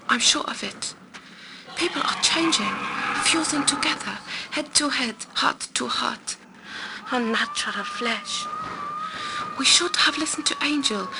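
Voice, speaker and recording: A woman playing a dying, grieving scientist in a video game audio log, breathy and faltering, on a noisy recorder. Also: high voice, heavily acted. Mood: anguished, regretful. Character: dying poisoned woman scientist, resigned and grieving, on Mars base.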